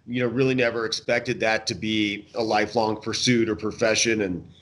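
A man's voice: lowish voice, level tone, normal speed, fairly bright tone. A man in his thirties or forties, talking casually and thoughtfully as an interview guest. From United States. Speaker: interview guest, fishing guide. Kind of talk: casual reflective interview chat. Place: indoor room, remote video call.

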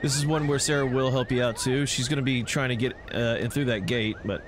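A man's voice: medium pitch, steady tone, a little fast, noisy background. A man giving casual, slangy, reactive commentary while playing a video game. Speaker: gameplay commentator. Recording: loud game music and sound behind. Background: game music and action sounds.

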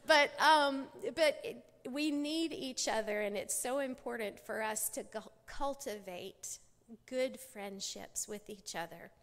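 A woman's voice: on the high side, slow pace. Chatty American woman speaking in a hall. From United States. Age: middle-aged. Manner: chatty, warm storytelling with many fillers and restarts. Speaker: conference speaker. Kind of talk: church women's conference talk. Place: large church auditorium stage. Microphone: podium mic.

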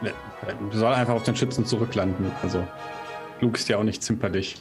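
A man with a low voice plays a tabletop roleplaying game. He states his moves casually, with music clearly audible behind him. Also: steady tone, brisk. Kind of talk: casual game talk, stating his character's actions. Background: background music.

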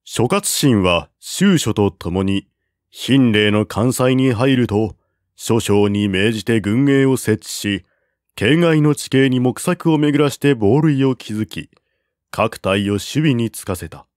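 A man reading a classical war novel aloud as narrator, in a slow, measured way at a close mic. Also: mid-range voice, expressive, darkish tone, no room sound. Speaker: audiobook narrator.

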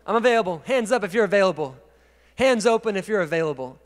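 High-voiced man preaching in a big hall. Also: fast. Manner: energetic preaching, gesturing and moving on stage, swings from quiet to loud. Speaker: pastor. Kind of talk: sermon. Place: large dark church stage. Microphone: handheld mic. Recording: long reverb of a large church hall.

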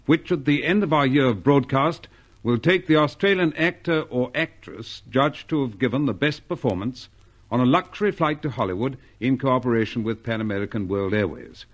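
A man's voice: medium pitch, normal speed. A man hosting a 1950s radio show with formal, courteous announcing and scripted interview talk.

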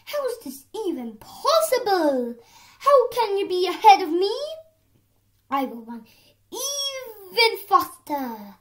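A young girl acts out an arrogant storybook horse. The voice is very high and slow, stretching words and exclaiming with a boastful air. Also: big pitch swings, a bit bright, full character voice. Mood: astonished, determined. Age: child. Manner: taunting, exclaiming, drawn-out words. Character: boastful mocking male horse, arrogant, later humbled.

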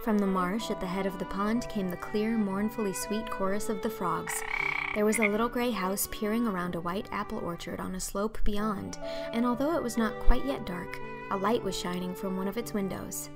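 A woman reading scripted storybook narration in an expressive, literary manner. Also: medium pitch, moderate pace, music in the background. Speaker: audiobook narrator.

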